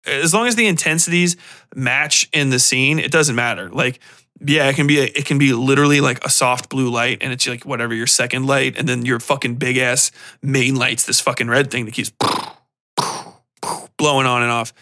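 A man hosting a podcast, giving a casual, animated and opinionated film rant in slangy, profane language. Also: medium pitch, normal speed. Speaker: podcast host.

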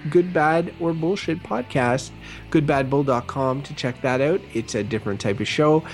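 A man with a fairly high voice co-hosts a podcast, chatting loosely and rambling through lots of ums and uhs. Faint music plays in the background. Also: moderate pace. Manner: chatty and rambling, with many fillers. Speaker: podcast co-host. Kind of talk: casual podcast banter.

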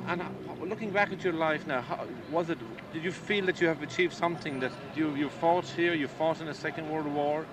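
A male reporter asking measured, somewhat hesitant questions and narrating, recorded outdoors at a ceremony. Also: on the high side, fairly even intonation, noisy background. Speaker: radio reporter. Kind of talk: field interview and narration.

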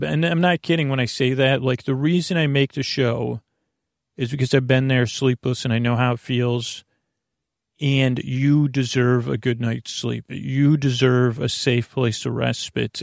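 A man hosting a sleep podcast in a gravelly voice, with a meandering, stammering, reassuring bedtime monologue that keeps losing its thread. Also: mid-range voice, steady tone, moderate pace, no echo, clean studio sound. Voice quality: creaky, gravelly.

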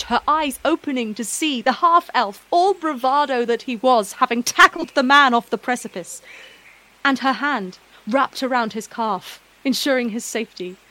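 A woman narrating a scripted fantasy story in a measured, dramatic storytelling manner. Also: a little high, sharp tone, poor audio.

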